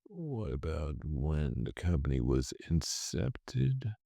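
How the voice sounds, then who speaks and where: A man's voice: very deep voice, big pitch swings, slow pace, creaky, warm tone, no room sound, studio quality. A man hosting a stock trading channel, rambling through his trades and correcting himself as he goes, a bit congested from a cold. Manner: rambling, self-correcting, thinks aloud. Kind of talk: casual screen-share commentary on trades.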